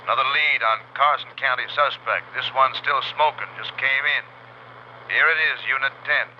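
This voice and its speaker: Actor as clipped police radio dispatcher, muffled. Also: male voice, medium pitch, normal speed, close to an everyday voice. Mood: brisk, official. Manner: clipped, official. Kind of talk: 1950s radio drama acting. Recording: muffled, noisy 1950s radio recording.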